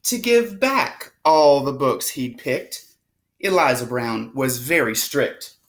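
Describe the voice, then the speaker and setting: Expressive, slow-paced woman reading a storybook. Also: extremely low pitch. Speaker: storybook reader. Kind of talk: children's read-aloud of a rhyming picture book.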